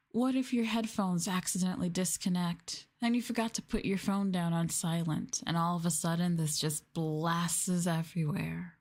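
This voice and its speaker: Woman doing teasing asmr roleplay, kinda low voice, really slow, condescending and mocking. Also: darkish tone. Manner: condescending, mocking, sultry taunting. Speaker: streamer, VTuber host.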